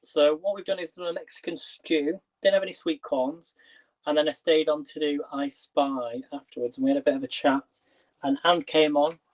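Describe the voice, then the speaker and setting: A man's voice: fairly high pitch, fairly even intonation, moderate pace. A man hosting a casual kitchen livestream, chatty and rambling, who is losing his voice. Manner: chatty, rambling, restarts questions to viewers. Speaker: livestream host. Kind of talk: casual live-stream chat. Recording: dull lo-fi livestream audio.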